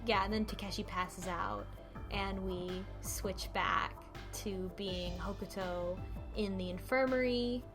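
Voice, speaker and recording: A woman's voice: medium pitch, fairly even intonation, slow pace. A woman talks as a podcast host in a casual group discussion. She retells a story in a loose, rambling, conversational way, with faint music behind her voice. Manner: rambling, conversational, quotes characters informally. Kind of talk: casual book club discussion, retelling a visual novel.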